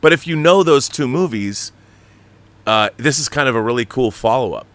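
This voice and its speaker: A man hosting a casual livestream, explaining things in a rambling, animated, slangy way, like a director talking shop. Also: mid-range voice, normal speed, no room sound. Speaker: show host and audio drama director.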